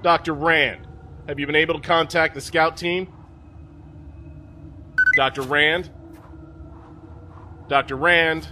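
A man's voice: on the high side, noisy background. A man playing a stern, seasoned spaceship captain in an audio drama, mocking and folksy in his commands. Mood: commanding.

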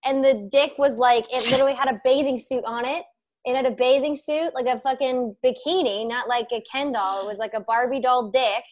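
A woman of twenty-five co-hosting a casual podcast over a video call, talking through earbuds at home, animated and given to swearing. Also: sits high, fairly quick. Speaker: podcast co-host. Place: home room with bookshelf, indoors.

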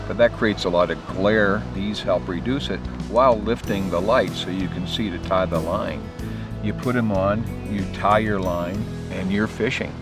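An older man telling about fishing in a testimonial, plain-spoken and folksy. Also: deep voice. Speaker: testimonial fisherman. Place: outdoors by a lake. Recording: ad with music bed. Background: guitar music.

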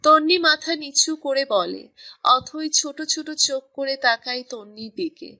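A woman's voice: sits high, a little slow, bright, forceful tone. A woman narrating a scripted romantic story.

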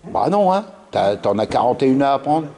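Lively man chatting in an echoey dojo. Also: mid-range voice, moderate pace. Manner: lively, prompting, many tag questions. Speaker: interviewer filming at the club. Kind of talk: casual chatty interview. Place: large indoor judo dojo. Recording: echoey hall, faint noise.

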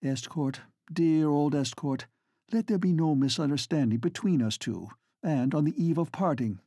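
A man's voice: fairly high pitch, normal speed, mellow, slightly rough, dry recording, studio quality. A man reading the part of an earnest, proud but tender lady in a novel, speaking in a pleading way.

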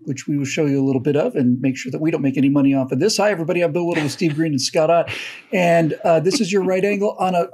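An older man hosting a casual commentary show over a video call, telling stories in an animated way with frequent restarts. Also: fairly high pitch, husky. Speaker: host.

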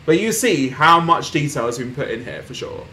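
A man's voice: on the high side, very animated, normal speed, a little hiss or hum. Chatty young man narrating a game. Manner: chatty, reads on-screen posts aloud, laughs softly. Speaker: gaming streamer. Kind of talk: casual game playthrough commentary. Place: small room at home, indoors.

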